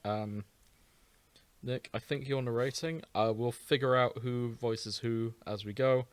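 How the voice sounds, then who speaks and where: A man's voice: medium pitch, fairly even intonation, a little slow, no echo. A man hosting a fan fiction reading show, chatting casually with his co-readers. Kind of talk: casual chat.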